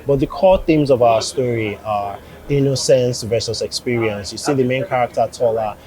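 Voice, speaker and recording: A man with a Nigerian accent giving interview answers as a producer, explaining in a measured way and rephrasing as he goes. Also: mid-range voice, mellow, low-quality recording. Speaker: animation producer.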